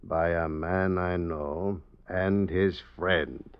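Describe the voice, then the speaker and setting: A brooding husband with murder on his mind in a radio play. His voice is very deep and slow, with terse, grave phrasing. The sound is dull and lo-fi. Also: fairly even intonation, clean tone. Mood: grim, scheming. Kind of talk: radio drama acting.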